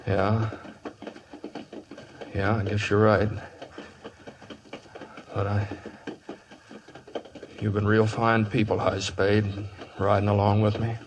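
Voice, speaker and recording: An actor playing a quiet, resolute, plain-spoken cowboy in a radio Western, halting and understated. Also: male voice, fairly low pitch, completely flat delivery, low-quality recording. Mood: pensive. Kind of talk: old-time radio Western acting. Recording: old radio broadcast with hiss.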